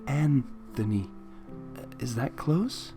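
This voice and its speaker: A man acts out a young female pegasus pony in a storybook reading. She is shy, naive and sweet, speaking with timid hesitation and an animated, swinging melody over music. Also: medium pitch, slow pace. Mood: timid, hesitant.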